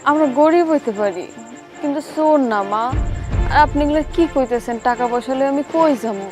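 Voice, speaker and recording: Pleading, high-voiced young Bangladeshi daughter-in-law, played by a woman. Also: a little fast, music in the background, natural voice. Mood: earnest pleading. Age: young adult. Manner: pleading, respectful but protesting. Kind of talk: melodramatic TV drama acting.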